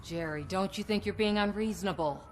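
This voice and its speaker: A woman's voice: mid-range voice, brisk, close to an everyday voice. An actress playing a scheming, manipulative woman in charge of a commercial shoot in a video game, sly and assertive. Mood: composed. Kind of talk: video game cutscene acting.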